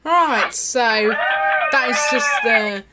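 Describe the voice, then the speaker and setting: A woman's voice: fairly high pitch, animated, takes it slowly, heavy background noise. A woman presenting a casual product demonstration as a toy reviewer. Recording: electronic toy music behind the voice. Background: music from a children's toy laptop.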